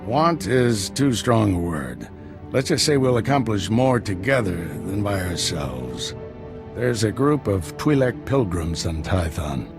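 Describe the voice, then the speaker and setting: Deep, seasoned Jedi mentor. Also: male voice, big pitch swings, a little slow, over music. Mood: calm, frank. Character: seasoned male Jedi master mentoring his apprentice, calm and frank. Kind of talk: video game voice acting.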